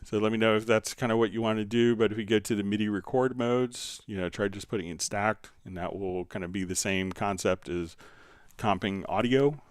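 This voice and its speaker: Low, smooth-voiced man. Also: fairly even intonation, fairly quick, few pauses, dry recording. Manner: informal, thinking aloud while demonstrating. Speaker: livestream host, software instructor. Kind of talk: casual live software tutorial.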